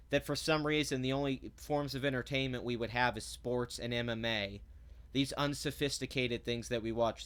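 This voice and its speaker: A man's voice: medium pitch, a bit bright. Sarcastic, blunt man ranting. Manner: stumbling restarts when heated. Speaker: commentary vlogger. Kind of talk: ranting commentary.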